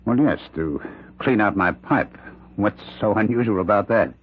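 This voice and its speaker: An actor playing a condemned professor who claims a killer spirit possesses him, with halting, intense, theatrical delivery in an old-time radio drama. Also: male voice, fairly low pitch, husky. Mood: dismissive, uneasy. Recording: dull, band-limited radio drama recording.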